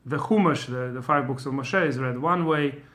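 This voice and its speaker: A man's voice: medium pitch, normal speed, a bit bright. A middle-aged man with a Russian accent, a Torah teacher giving an informal lesson, thinking aloud with many fillers and restarts.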